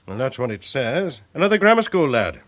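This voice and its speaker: An actor with a British accent playing a brisk, commanding male police chief inspector, giving curt orders in a radio drama. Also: medium pitch, big pitch swings, fairly bright tone. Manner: curt, authoritative, clipped orders. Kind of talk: 1980s radio drama acting.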